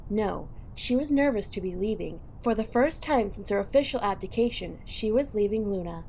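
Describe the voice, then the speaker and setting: A woman narrates an audio drama from a script. Her mid-pitched voice carries noticeable creak and fry, with faint noise behind it. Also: lively intonation, normal speed, a little mellow, poor audio.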